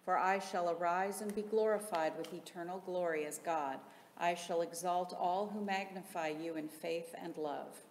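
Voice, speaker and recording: A woman reading solemn liturgical hymns aloud in a measured, formal, chant-like delivery. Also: on the low side. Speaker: liturgical reader in church. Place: large reverberant interior. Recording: echoey reverberant hall, faint background noise.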